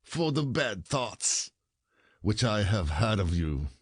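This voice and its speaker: A man's voice: on the low side, very animated, slow pace, husky, no room sound, clean studio sound, exaggerated voice. A man playing an aggrieved, stubborn common husband, indignant and grudging, in a classic comedy audio play.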